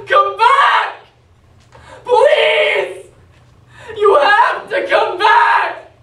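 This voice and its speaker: A woman's voice: very high-pitched, very slow, pushed and bright, some chatter behind, full character voice. A young woman on stage playing a grieving, desperate, imaginative young boy in readers theatre. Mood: furious, desperate. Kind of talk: high school readers theatre acting. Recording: distant, echoey stage recording.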